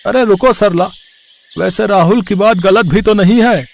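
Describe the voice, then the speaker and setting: A man voicing a gentle, hopeful village husband and father in a cartoon moral story. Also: high voice, normal speed, gravelly. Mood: encouraging, hopeful.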